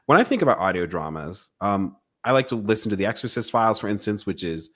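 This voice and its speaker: A man's voice: lowish voice, big pitch swings, fast, a bit bright, breathy edge. A man hosting a podcast, speaking in a casual, eager and curious way, often circling back over his phrases as he frames a question. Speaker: podcast host. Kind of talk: casual conversational interviewing.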